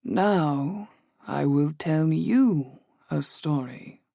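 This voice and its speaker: A man's voice: fairly high pitch, gravelly, dry recording, studio quality, pitched above the performer's own voice, full character voice. A man putting on a purring, mysterious older innkeeper woman. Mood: amused, purring. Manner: slow purring hushed delivery. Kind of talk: audiobook character voice.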